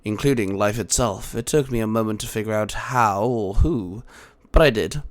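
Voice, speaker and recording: A man voicing a calm, grave mentor of heroes in an audiobook, speaking in a measured, emphatic way. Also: fairly low pitch, close to an everyday voice. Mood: solemn. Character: calm, grave male mentor guarding the multiverse. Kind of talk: dramatic audiobook character acting.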